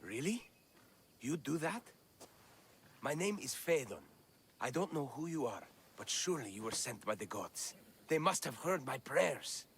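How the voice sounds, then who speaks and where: An actor playing a wronged, devout slave in ancient Greece, speaking with earnest emotion in a video game. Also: male voice, mid-range voice, very animated, unhurried, long pauses, a bit bright. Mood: grateful, hopeful. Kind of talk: video game cutscene acting. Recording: music behind the voice.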